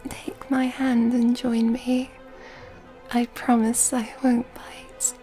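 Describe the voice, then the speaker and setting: A woman playing an elegant, flirtatious vampire in an ASMR roleplay, speaking in a soft, breathy half-whisper with refined, courtly phrasing, close to the mic. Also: on the high side. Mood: flirtatious, inviting. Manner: refined, old-fashioned courtly phrasing, unhurried. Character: elegant, flirtatious, mysterious female vampire at a masquerade ball. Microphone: close microphone. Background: music.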